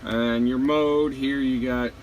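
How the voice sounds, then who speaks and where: A man giving a casual hands-on gear walkthrough, plain-spoken and halting, pausing between short phrases. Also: mid-range voice. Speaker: truck driver showing his CB radio setup. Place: inside a truck cab. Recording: steady vehicle and air-conditioning noise behind.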